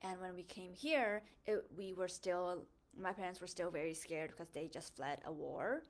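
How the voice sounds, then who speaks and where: Young woman, slightly low voice, reflective personal monologue, earnest, pauses and restarts often. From born in a refugee camp in Thailand, Hmong, raised in the United States. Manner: earnest, thoughtful, with frequent fillers and self-corrections. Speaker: host of a faith podcast.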